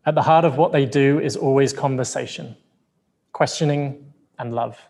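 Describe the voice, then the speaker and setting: A man introducing two speakers at an arts event, reading a formal introduction from notes in a measured way. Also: mid-range voice, creaky, darkish tone. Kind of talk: read introduction.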